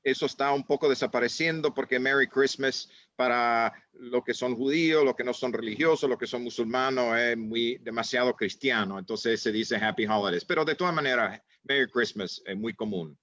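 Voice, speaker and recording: A man's voice: medium pitch, moderate pace, hardly any pauses, a bit bright, dry recording, muffled recording. A man who grew up in Italy and France, moderating a casual panel discussion and rambling with many fillers and restarts.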